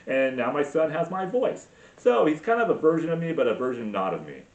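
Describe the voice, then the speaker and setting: A man's voice: fairly high pitch, big pitch swings, a little fast. A man in his forties rambling alone in a garage, profane and self-mocking, in a solo monologue. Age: 40s. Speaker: podcast host. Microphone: camera mic. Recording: roomy, dull lo-fi sound.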